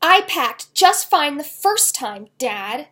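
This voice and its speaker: Woman reading as an indignant, high-voiced eleven-year-old girl. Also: big pitch swings, slow, heavily acted. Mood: shouting, indignant. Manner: indignant, loud, emphatic. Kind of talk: children's novel read aloud.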